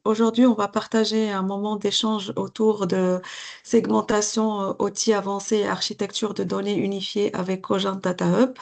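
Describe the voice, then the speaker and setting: A woman's voice: medium pitch, gravelly, darkish tone. A woman hosting a webinar, politely introducing a guest and reading prepared questions in a formal way. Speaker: webinar host, business development manager. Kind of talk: moderating a webinar Q&A. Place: video call.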